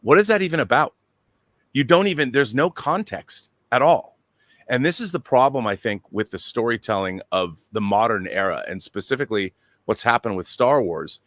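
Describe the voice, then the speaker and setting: A man with a medium-pitched voice holds forth on a talk panel. He is emphatic, repeating phrases and posing rhetorical questions. The sound is dry, with little room. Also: expressive, moderate pace, a bit bright, no room sound. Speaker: show panelist. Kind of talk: opinionated panel commentary.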